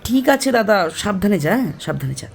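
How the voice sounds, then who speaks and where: A performer playing a shy, quiet young male college student in an audio story, hesitant and mild. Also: medium pitch, sing-song, brisk, vocal fry. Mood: mild, obliging.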